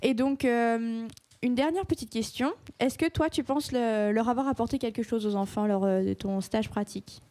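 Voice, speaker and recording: A woman's voice: on the high side, moderate pace, mellow. Young woman hosting student radio. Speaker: radio show host. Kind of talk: interviewing and announcing on student radio.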